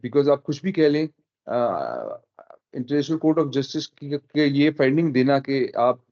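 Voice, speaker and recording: A man's voice: mid-range voice, mellow. A man taking part in a casual discussion, speaking reflectively and thinking aloud as he goes. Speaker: guest. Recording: dull lo-fi audio.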